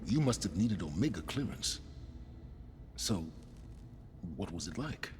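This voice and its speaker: A man's voice: low voice, sing-song, measured pace, slight background noise. An actor playing an arrogant, cynical male scholar in a video game, speaking with condescending deliberation. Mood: disdainful.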